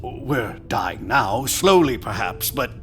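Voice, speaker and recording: An ageless man, centuries old and utterly disillusioned. He speaks at a middle pitch with weary, sardonic bitterness. Soft music sits behind this audio drama scene. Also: big pitch swings, slow. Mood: bitter, wounded.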